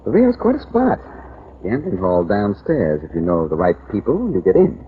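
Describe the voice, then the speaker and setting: An actor playing a confident, wisecracking amateur sleuth in a glib, theatrical 1940s radio detective style. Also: male voice, fairly low pitch, huge pitch swings, moderate pace. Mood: glib, bantering. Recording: dull, noisy vintage 1940s radio recording.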